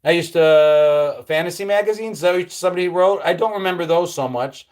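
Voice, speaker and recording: A man's voice: fairly high pitch, brisk, darkish tone. A middle-aged man from upstate New York hosting a casual live stream, reading viewer comments and reminiscing about his childhood in an easygoing, nostalgic way. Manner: reads viewer comments aloud and answers them; nostalgic, easygoing, with frequent ums and trailing drops. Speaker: livestream host.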